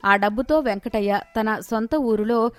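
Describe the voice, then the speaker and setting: A woman narrator telling a scripted moral story in an expressive storytelling manner. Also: a little high, unhurried, no echo.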